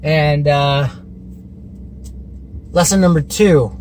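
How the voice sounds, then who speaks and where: A young man talking casually to the camera in a relaxed, chatty way with lots of fillers, first in a store and then from his car. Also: on the high side, drawn-out words, a little hiss or hum. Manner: relaxed, chatty, self-mocking, many fillers. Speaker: fishing vlogger. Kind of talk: casual vlog talk to camera. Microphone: camera mounted on dashboard.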